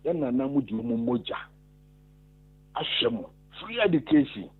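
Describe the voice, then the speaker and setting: Ghanaian male caller, emphatic, husky, heard over a thin phone line. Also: medium pitch, big pitch swings, normal speed. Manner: emphatic, mixing Twi and English. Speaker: radio caller. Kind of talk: phone-in discussion.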